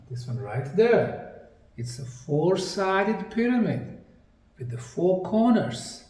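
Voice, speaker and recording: A man giving a lecture-style presentation, speaking deliberately in short, emphatic phrases with pauses. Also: a little high, warm tone. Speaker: presenter, anthropologist. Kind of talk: slide lecture presentation on sacred geometry. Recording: distant mic in an echoey room.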